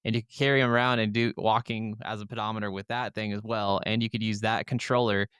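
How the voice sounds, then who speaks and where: A man with a lowish, smooth voice talks casually as a podcast host. His delivery is informal and enthusiastic, and the sound is dry and clean. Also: little pitch movement, fast, a bit bright, no room sound. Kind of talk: casual chat about video games.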